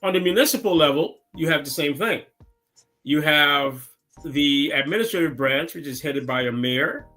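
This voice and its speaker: A man's voice: on the high side, pushed and bright, faint music behind. A man from Guyana, a city council member, explaining government step by step as an interview guest over a remote call. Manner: measured, explains step by step with tag questions.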